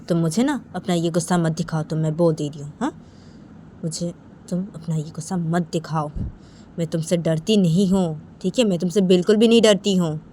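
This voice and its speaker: A woman's voice: on the low side, mellow, slight background noise, close to an everyday voice. A woman playing a defiant, commanding wife who confronts her husband in an insistent, repetitive, bossy way.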